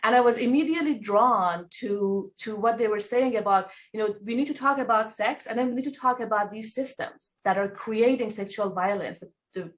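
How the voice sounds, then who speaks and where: A woman's voice: on the high side, moderate pace. Woman from iran, earnest and thoughtful, talking on a panel. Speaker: nonprofit co-founder. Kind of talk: panel talk.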